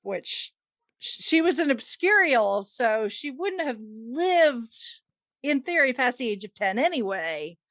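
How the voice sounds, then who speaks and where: A woman's voice: on the high side, big pitch swings, takes it slowly, a bit bright, no room sound. A woman co-hosting a casual fan discussion, chatty and rambling, with lots of "like" and "you know". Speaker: podcast co-host.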